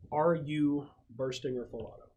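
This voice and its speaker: A man's voice: fairly high pitch, moderate pace. A man casually refereeing a tabletop roleplaying game as game master, explaining rules and outcomes. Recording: clearly noisy room recording.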